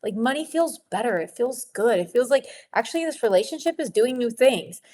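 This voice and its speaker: A woman coaching a women's group on their relationship with money in a gentle, encouraging teaching talk, sniffling a little and laughing often. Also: on the high side, expressive, a little fast.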